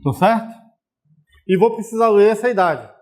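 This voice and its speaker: A man's voice: high voice, normal speed, a little mellow. A man teaching programming in a recorded distance-learning lesson, explaining step by step and often checking in with viewers. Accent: Brazilian Portuguese.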